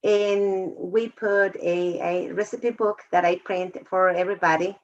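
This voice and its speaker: A woman's voice: medium pitch, fairly even intonation. Woman teacher on a call. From Mexico. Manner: warm, emotional, halting phrasing. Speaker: fourth grade teacher. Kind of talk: video call discussion. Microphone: video call. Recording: dull lo-fi video call audio.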